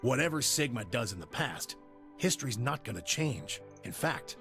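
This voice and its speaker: A man's voice: mid-range voice, animated, moderate pace, vocal fry, bright, forceful tone. An actor playing a blunt, sardonic, weary older man in a video game. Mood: scornful, resigned. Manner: blunt, scornful, rhetorical. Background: game music.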